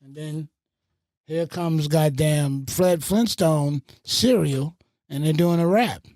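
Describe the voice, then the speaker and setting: A man from the Bronx and Fayetteville, a radio host and producer, telling animated stories on a podcast and swearing freely. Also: on the high side, takes it slowly, dry recording, studio quality. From Bronx, New York and Fayetteville, North Carolina. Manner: animated storyteller with a lot of swearing. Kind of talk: casual podcast storytelling.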